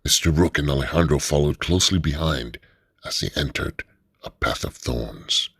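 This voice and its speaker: A man narrating a radio drama in a steady, measured storytelling manner. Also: rumbling low, husky, dry recording, studio quality.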